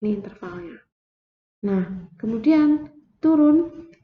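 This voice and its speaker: Young woman, math teacher, slow step-by-step explaining, higher voice, echoey dull room. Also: expressive.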